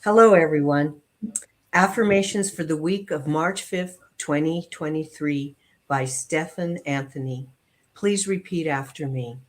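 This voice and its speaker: Low-pitched woman reading slowly and deliberately. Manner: deliberate, phrase-by-phrase delivery. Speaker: prayer practitioner and reader. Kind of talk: measured read-aloud of affirmations and a book reading.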